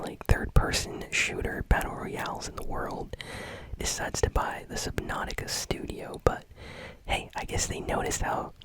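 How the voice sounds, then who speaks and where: A young man hosting gaming news in a soft, close-miked ASMR whisper, chatting casually. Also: very high voice, poor audio. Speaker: host.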